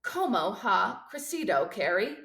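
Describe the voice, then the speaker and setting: A woman's voice: mid-range voice, sing-song, measured pace, gravelly, fairly bright tone, exaggerated voice. A sad middle-aged father acted by a woman reader from a storybook. He is grateful, polite and subdued, recorded with noticeable room echo. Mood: fond. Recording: roomy, echoing sound.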